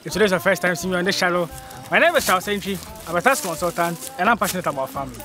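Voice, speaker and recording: Upbeat young Ghanaian man, higher voice. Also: very fast, pushed and bright, faint music behind. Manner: upbeat, chatty, pleads with viewers. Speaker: farming channel host. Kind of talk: casual outdoor vlog interview. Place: open farm field outdoors. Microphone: handheld phone.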